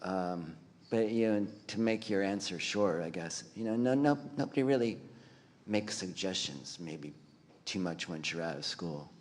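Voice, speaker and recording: A man artist on a panel, speaking in a relaxed, meandering way with many fillers. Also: deep voice, unhurried, long reverb tail. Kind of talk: casual panel discussion.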